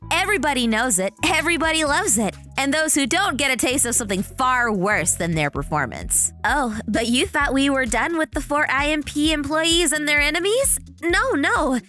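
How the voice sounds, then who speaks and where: Bright, lively woman narrator. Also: sits high, normal speed, soft background music. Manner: playful, wry delivery. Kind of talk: scripted narration.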